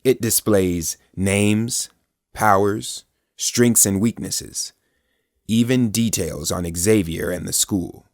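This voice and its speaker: A man narrating an audio drama as a grave storyteller, with deliberate, dramatic scripted delivery. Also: lowish voice. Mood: neutral, scene-setting. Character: grave, omniscient male storyteller.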